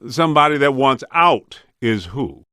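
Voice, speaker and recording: An actor playing a streetwise, wisecracking ghost hunter in a video game, practical and exasperated, with casual, slangy, punchy delivery. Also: male voice, mid-range voice, big pitch swings, measured pace, pushed and bright, no room sound, studio quality. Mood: matter-of-fact.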